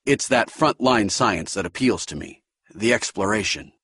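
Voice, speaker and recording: A man's voice: fairly low pitch, lively intonation, fairly bright tone, slightly rough, no room sound, clean studio sound, exaggerated voice. A man playing an eager, polite young ensign. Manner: respectful, eager, brisk.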